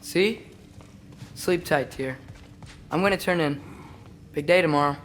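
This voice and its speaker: A man's voice: a little high, a little slow, a little hiss or hum, natural voice. An actor playing an eager, restless teenage warrior's son in a video game, earnest and casual in his speech. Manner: earnest, casual modern phrasing. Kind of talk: cinematic video game acting. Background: cinematic music.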